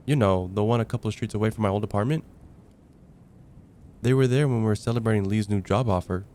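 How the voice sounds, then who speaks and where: A young man playing a casual heartbreaker friend confiding about dating in a conversational, hesitant ASMR roleplay, with sighs and trailing pauses. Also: on the low side, warm tone, a little hiss or hum, close to an everyday voice. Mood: pleading, casual. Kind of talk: ASMR roleplay, acted one-sided conversation.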